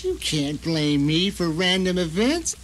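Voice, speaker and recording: A man's voice: high-pitched, sing-song, measured pace, fairly bright tone, full character voice. A man playing a self-satisfied novelist trapped at home, narrating his grim ordeal dramatically in an audio drama. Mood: tense, indignant. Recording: noisy 1990 radio drama recording.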